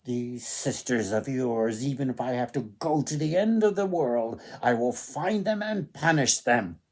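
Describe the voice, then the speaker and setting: A man's voice: mid-range voice, lively intonation, a little slow, a little mellow. A man voicing a passionate prince in a storybook tale, dramatic and emphatic. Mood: enraged.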